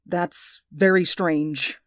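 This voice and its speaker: A woman's voice: fairly low pitch, steady tone, extremely slow, dry recording, studio quality. A woman voicing Mister Ames, a nervous, sleepless man who stammers and pleads, in a radio drama. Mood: anxious. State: sleep-deprived, anxious. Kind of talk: hobby radio drama acting.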